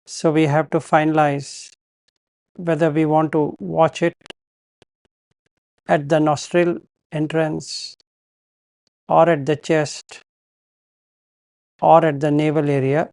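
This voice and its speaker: Slow, even-toned male meditation guide with creaky voice. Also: a little high, no room sound. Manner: calm, measured, instructional, with pauses between short phrases. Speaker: meditation teacher.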